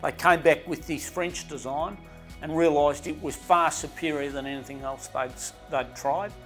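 A middle-aged Australian man talks eagerly about his boat factory, his voice a touch higher than most men's. He stumbles and restarts often, and quiet music runs beneath the interview. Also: steady tone, moderate pace. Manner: enthusiastic, rambling with restarts and "you know". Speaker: boatbuilding company owner. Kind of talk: interview answers. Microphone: lapel mic. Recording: faint background music.

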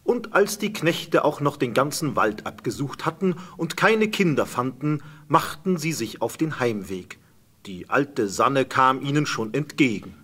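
A man's voice: medium pitch, expressive, a little slow, sharp tone, breathy edge. A man narrating a scripted fairy tale as a storyteller.

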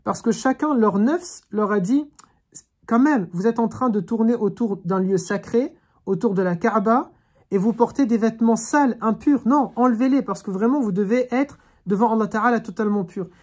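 A woman's voice: fairly high pitch, normal speed, dark tone, husky. A woman teaching an online religious class over a video call, speaking in an earnest, reflective way and urging her listeners on. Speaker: Quran teacher.

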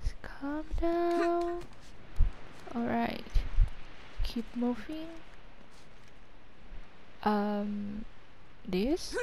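A woman's voice: a little high, animated, drawn-out words, vocal fry, long pauses, heavy background noise. A woman commentating on her own gameplay, talking casually to herself in short phrases. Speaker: gamer commentating a playthrough. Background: video game music and sounds.

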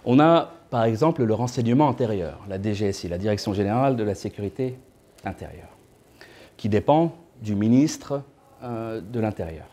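A man in his thirties lectures to a small audience from his seat in an indoor room by a window, picked up by a camera a short way off. His voice is soft and warm. He keeps the tone loose and chatty, slipping in playful asides to the listeners. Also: on the low side, expressive, moderate pace. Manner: conversational, with joking asides to the audience. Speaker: guest speaker.

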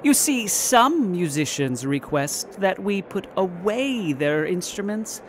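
Apologetic stagehand, female, lowish voice, hesitant and slow, audio drama. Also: sing-song, slight background noise. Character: apologetic, nervous theatre worker.

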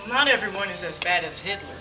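A woman's voice: lowish voice, animated, quick pace, lightly acted. A woman in an amateur church stage play, playing a doubtful dinner guest who keeps questioning what she hears. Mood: skeptical. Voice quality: skeptical, questioning. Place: church hall stage, indoors. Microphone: far camera. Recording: distant camera with music behind.

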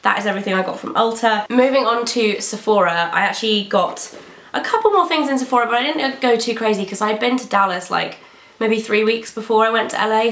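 A young British woman talks through her beauty purchases in a gushing, chatty way. Her voice is slightly croaky and congested from a cold. The sound carries a roomy echo from an indoor set. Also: mid-range voice, a bit bright. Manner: chatty, gushing about products. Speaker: beauty vlogger. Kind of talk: casual haul video chat. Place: bedroom filming set indoors.

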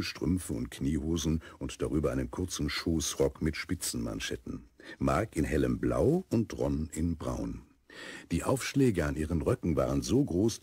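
A man with a husky voice narrating a children's radio play in a scripted storytelling style. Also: a little mellow, dry recording. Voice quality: very deep, husky.

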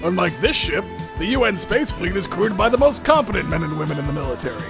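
Man as a gravelly, pompous cartoon ship captain. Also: high voice, sing-song, fast talker, loud music behind the voice, exaggerated voice. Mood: pompous, rambling. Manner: pompous, rambling. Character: rambling, addled middle-aged male starship captain. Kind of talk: comedic fan dub of a cartoon.

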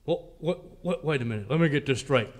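A man as a high-voiced, dim-witted Southern sheriff. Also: animated, fast talker, noisy background, lightly acted. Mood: folksy, pleased. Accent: American Southern. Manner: rambling, folksy drawl. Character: dim, folksy, cheerful small-town western sheriff. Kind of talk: parody of old-time radio western serial.

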